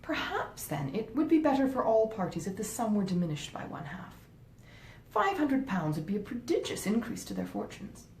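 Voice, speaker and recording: Woman reading as a grave, mellow gentleman husband. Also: sing-song, normal speed. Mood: grave reasoning. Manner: earnest, pompous, deliberating. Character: grave, well-meaning but easily swayed gentleman husband. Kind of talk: acted dialogue in a classic novel reading. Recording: roomy echo, faint rumbling noise.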